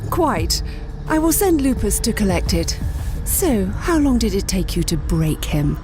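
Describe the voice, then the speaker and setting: A woman's voice: mid-range voice, big pitch swings, a little slow. An actress playing a curt, contemptuous female villain boss in an audio drama, clipped and commanding. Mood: curt impatience. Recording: music under the voice.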